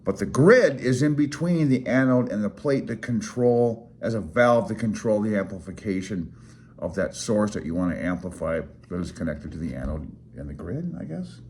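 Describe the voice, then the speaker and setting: A man's voice: lowish voice, animated, normal speed, a little mellow, slight background noise. A man in his fifties giving an informal read-along tutorial, thinking aloud in a hesitant, self-deprecating way. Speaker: amateur radio hobbyist explaining a handbook.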